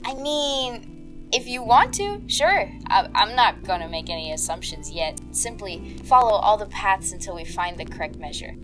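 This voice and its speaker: A methodical private investigator in an audio drama. Her mid-pitched voice is casual and confident, laying out her reasoning step by step over faint music. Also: sing-song, moderate pace, fairly bright tone, rough recording. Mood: matter-of-fact. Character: methodical, open-minded female private investigator.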